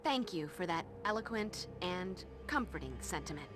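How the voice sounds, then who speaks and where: A woman's voice: on the low side, expressive, normal speed, a bit bright. An actress playing a quippy, confident young female vampire slayer in a video game, with an American accent. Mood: wry. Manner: quippy, sarcastic. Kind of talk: video game cutscene dialogue. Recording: music behind the voice.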